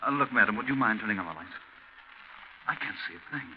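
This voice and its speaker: An actor playing a harried, practical man seeking shelter, polite and halting in 1940s radio drama style. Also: lowish voice, animated, lightly acted. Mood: puzzled. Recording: muffled, noisy 1940s radio recording.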